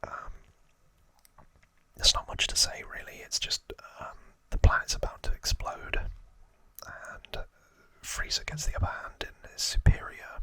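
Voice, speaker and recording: A man hosting ASMR, whispering informal trivia narration in a hushed, rambling way with casual asides. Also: unhurried, no echo, poor audio. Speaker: ASMR host.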